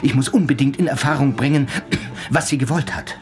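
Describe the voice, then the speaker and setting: An actor playing an earnest, inquisitive hospital doctor in a German radio play, with an emphatic, probing manner. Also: male voice, mid-range voice, a little fast, faint music behind, lightly acted.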